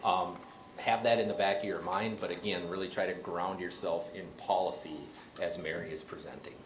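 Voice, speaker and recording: A man's voice: sits low, fairly even intonation, normal speed. A man, a county health official, introducing a speaker at a meeting in a direct, instructive way. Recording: echoey room with background hiss.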